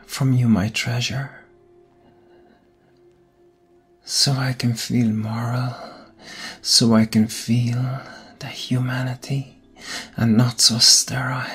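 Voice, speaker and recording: A man playing a brooding, seductive vampire lover in an ASMR roleplay, often whispering, with intimate dramatic pauses. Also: medium pitch, slightly rough, heavily acted. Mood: seductive, pleading.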